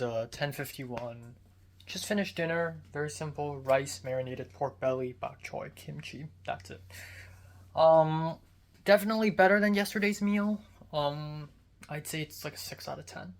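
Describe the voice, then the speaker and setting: A man's voice: fairly high pitch, steady tone, a little slow. Young male vlogger, American accent, grew up in Hong Kong; loose, rambling diary talk with fillers and swearing. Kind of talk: casual video diary.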